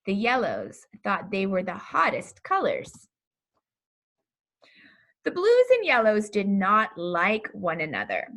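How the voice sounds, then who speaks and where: Expressive woman reading a children's storybook. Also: mid-range voice. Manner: expressive, deliberate storytelling delivery. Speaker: pastor reading a children's book. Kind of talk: children's storybook read-aloud.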